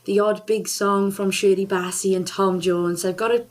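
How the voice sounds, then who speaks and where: Bubbly woman singer from Wales, enthusiastic, quick to laugh, mid-pitched. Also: fairly even intonation, a little mellow. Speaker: singer and charity ambassador. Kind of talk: casual interview chat.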